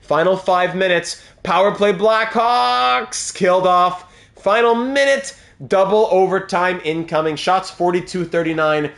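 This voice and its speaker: A man's voice: sits high, measured pace, gravelly. A young man streaming a hockey video game, chatting with viewers and calling the plays as they happen. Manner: chatty, reads chat aloud, excited calls of game plays. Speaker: gaming streamer playing a hockey franchise mode. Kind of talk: live-stream commentary over gameplay.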